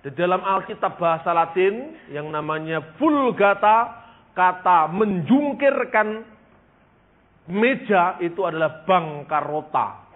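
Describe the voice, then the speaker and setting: Male preacher, fifties, high voice, emphatic teaching delivery with repeated phrases and stretched key words; narrow, phone-like sound. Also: lively intonation, slow pace. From East Java, Indonesia. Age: 50s. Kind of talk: sermon with Bible storytelling and history lesson. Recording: tinny, band-limited speech recording.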